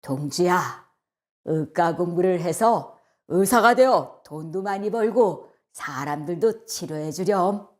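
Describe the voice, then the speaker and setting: A woman's voice: on the low side, animated, slow pace. A woman voicing a stern, practical middle-aged father in a storybook, firm and authoritative in manner. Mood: firm advising. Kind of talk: storybook character acting.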